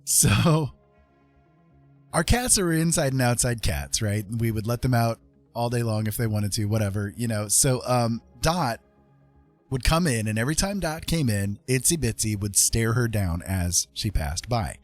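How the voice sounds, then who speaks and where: A man hosting a livestream, casually telling stories in an animated way with drawn-out dramatic phrasing. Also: mid-range voice, soft background music. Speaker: livestream host. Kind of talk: casual storytelling. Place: indoor livestream with music behind.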